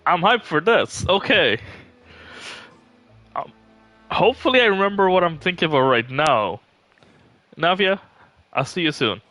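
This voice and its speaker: A man's voice: a little high, lively intonation, unhurried, pauses often, sharp tone, dry recording. A man doing casual live gaming commentary, chatting and thinking aloud as he plays. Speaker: game livestreamer. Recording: music playing behind. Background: music.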